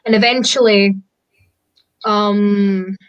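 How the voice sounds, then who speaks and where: A woman's voice: medium pitch, steady tone, very slow, smooth, muffled recording. Scottish woman, native Gaelic speaker, cheerful guest, laughs easily, keen to explain words. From Scotland. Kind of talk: casual video call chat.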